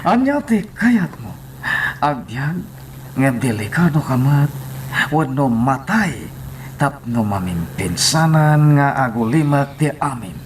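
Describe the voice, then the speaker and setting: A man's voice: mid-range voice, very animated, slow. Schemer role, male actor: urgent, middle-register radio drama acting, faint noise behind. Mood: scheming, urgent. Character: scheming accomplice speaking urgently of danger.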